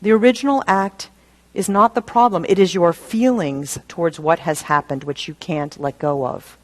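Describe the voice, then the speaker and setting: Woman, minister, sermon delivery, slightly low pitch, deliberate phrasing, rhetorical questions, repetition. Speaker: minister preaching.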